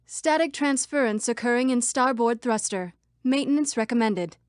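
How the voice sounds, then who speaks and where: A young woman voicing an earnest female computer program citizen in a video game, with crisp, dramatic delivery. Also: fairly high pitch, moderate pace, no echo, studio quality, lightly acted. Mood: calm, official.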